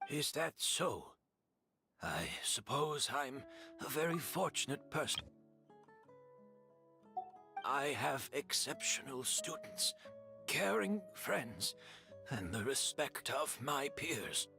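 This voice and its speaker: Slow, weary, resigned scholar. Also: male voice, mid-range voice, sing-song, gravelly, over music, heavily acted. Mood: resigned, wistful. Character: weary, resigned, gentle male scholar facing death. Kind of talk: dramatic game dialogue.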